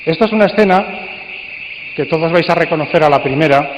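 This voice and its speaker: A man with a Spanish accent from Spain addresses an audience as a congress speaker. His voice sits slightly high and his delivery is playful and theatrical. The sound is somewhat dull, with long hall reverberation and faint noise. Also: fast talker. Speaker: speaker and coordinator at a radio drama congress. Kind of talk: live talk to an audience, interactive presentation. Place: large indoor congress hall.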